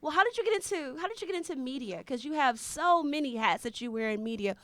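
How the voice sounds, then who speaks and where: A woman's voice: sits high, animated, fast, a bit bright, no room sound. An American woman hosting a casual live interview, chatting in an informal, colloquial way. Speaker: talk show host.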